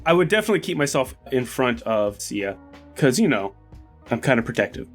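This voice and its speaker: A man's voice: mid-range voice, very animated, a little fast. A man talking casually as a player in a tabletop roleplaying game. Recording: music under the voice. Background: background music.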